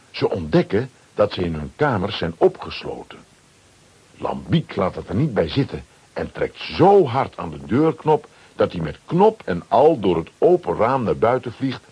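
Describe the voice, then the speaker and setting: A man narrating a children's radio play as a scripted storyteller, with a very husky voice. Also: on the low side, a little mellow, heavily acted.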